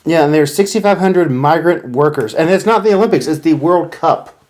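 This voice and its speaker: An American man with a voice a bit higher than most men's. He co-hosts a casual chat podcast and explains things conversationally, with a halting delivery. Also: expressive, a little mellow. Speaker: podcast co-host.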